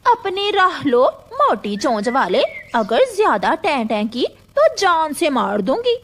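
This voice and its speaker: Woman voicing a high, brazen cartoon crow. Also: big pitch swings, takes it slowly, fairly bright tone, heavily acted. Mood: brazen defiance. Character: quarrelsome, sly female crow, brazen litterbug. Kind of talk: children's cartoon story dub.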